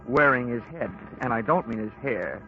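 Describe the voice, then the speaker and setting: A man's voice: medium pitch, lively intonation, normal speed, lightly acted. An actor playing a hard-boiled male insurance investigator who narrates his own case in a 1940s radio drama, dry and wisecracking. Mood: terse narration. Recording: muffled old radio broadcast with music.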